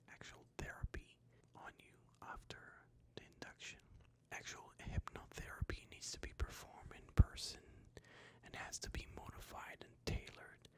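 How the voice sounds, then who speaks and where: A host whispering a sleep hypnosis session in a breathy, soothing, deliberate way, phrase by phrase.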